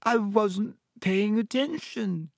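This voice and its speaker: Storybook ghost girl, performed by a man: high, slow, tearful wail, theatrical and forever sorry for herself. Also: vocal fry, no room sound, clean studio sound, exaggerated voice. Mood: dramatic self-pity. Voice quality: tearful, choked, wailing voice. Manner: slow, drawn-out, theatrical complaining. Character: weepy, self-pitying, melodramatic ghost girl.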